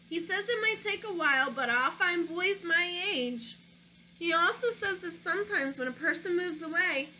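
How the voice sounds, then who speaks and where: Bright, lively woman teacher reading aloud. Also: high-pitched, a little hiss or hum, rough recording. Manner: stops to ask young listeners questions; expressive storytelling delivery. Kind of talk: reading a picture book aloud to children.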